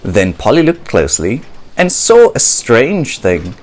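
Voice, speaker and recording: Very animated, unhurried male storyteller. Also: medium pitch. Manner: theatrical, expressive. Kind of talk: children's picture book read-aloud.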